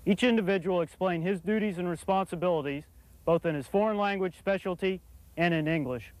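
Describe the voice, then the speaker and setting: A man's voice: high voice, brisk, darkish tone, rough recording. A man giving a formal, scripted military briefing in a rehearsed, declarative manner. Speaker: military presenter introducing his unit.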